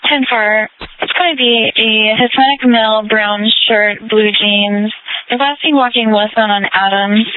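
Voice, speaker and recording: A woman police dispatcher reading out calls and codes in a brisk, clipped way. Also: on the high side, phone-quality sound. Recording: scanner radio with hiss.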